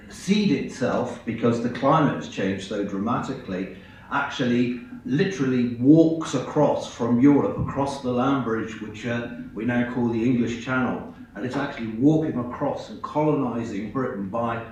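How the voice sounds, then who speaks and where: A man with a hoarse voice from a cold, giving an earnest, halting presentation with slides at a public meeting. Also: mid-range voice. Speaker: invited speaker at a public meeting. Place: large echoey meeting hall. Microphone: far from the microphone. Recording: echoey hall, distant, dull sound.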